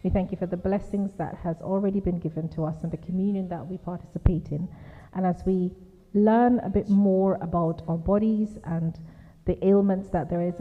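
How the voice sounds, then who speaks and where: A woman at a church giving an informal, hesitant welcome to a guest speaker, with many fillers. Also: mid-range voice. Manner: informal, hesitant with fillers, then a soft prayer. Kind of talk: welcome speech and prayer. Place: church hall. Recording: lo-fi phone-like sound in a reverberant church hall.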